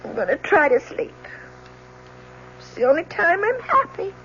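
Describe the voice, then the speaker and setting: An actress playing a distraught, guilt-ridden young daughter in a 1970s radio drama, with a brittle, wounded, theatrical delivery. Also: female voice, extremely high pitch, very animated, moderate pace, a bit bright, heavily acted. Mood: despairing, pleading. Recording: dull, noisy vintage radio recording.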